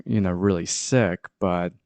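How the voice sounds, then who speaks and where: A man's voice: deep voice, fairly even intonation, measured pace, a little mellow, no room sound. Congested man hosting a crypto channel. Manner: hesitant, many fillers and restarts. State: congested with a sore throat. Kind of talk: casual market commentary.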